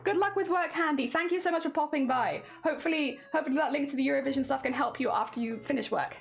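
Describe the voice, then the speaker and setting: A woman's voice: high voice, fast talker, vocal fry, faint music behind. A woman livestreaming a crafting session, chatting casually with her viewers; she sounds a little wheezy and rambles, laughing often. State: wheezy, voice strained. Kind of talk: casual chat to live chat. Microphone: headset.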